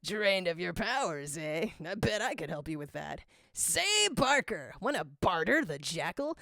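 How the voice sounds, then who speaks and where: A woman's voice: lowish voice, very animated, measured pace, creaky, sharp tone, no room sound, studio quality, full character voice. Boisterous male mad-scientist villain for a fan story, theatrical and taunting, swooping delivery performed by a woman. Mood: scheming. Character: boisterous, scheming male supervillain doctor.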